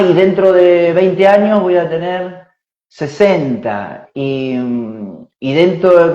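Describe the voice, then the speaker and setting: A man in his forties, a spiritual teacher, explains ideas slowly and reflectively, thinking aloud with fillers and tag questions. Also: on the high side, darkish tone. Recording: roomy, dull lo-fi sound.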